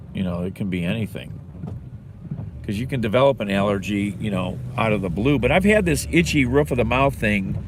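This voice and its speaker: A man vlogging in casual chat, rambling and halting, who swears offhandedly and sounds stuffed up with a sore throat. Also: mid-range voice. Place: outdoors in a city. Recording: noisy outdoor city recording.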